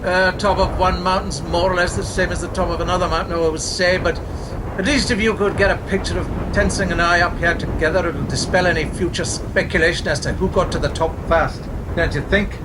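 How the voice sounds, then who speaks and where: A man playing a polite, halting gentleman mountaineer in an amateur radio play, formal and courteous. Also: fairly even intonation, natural voice. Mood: matter-of-fact. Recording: noisy outdoor rumble behind.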